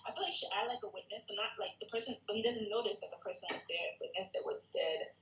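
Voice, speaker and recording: A woman with a mid-pitched voice shares her thoughts casually in a workshop. She is tentative and halting, working ideas out as she speaks. The room echoes noticeably around her voice. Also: highs cut off. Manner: tentative, halting, thinking aloud. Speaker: participant giving script feedback at a table read.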